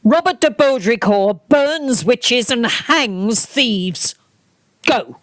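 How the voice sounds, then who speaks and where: Woman as bullying castle captain, pompous, hectoring, theatrical radio drama delivery, slow and deliberate, very dry sound. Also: a little high, lively intonation, dry recording. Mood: threatening. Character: blustering, bullying male squire and castle captain. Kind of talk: theatrical radio play acting.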